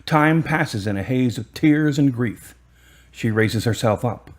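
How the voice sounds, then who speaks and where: A woman's voice: rumbling low, lively intonation, unhurried. A woman narrating a radio drama in a scripted storytelling style.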